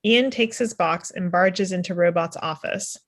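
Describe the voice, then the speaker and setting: A woman's voice: fairly low pitch, measured pace. Woman reading script stage directions. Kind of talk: scripted narration, table read.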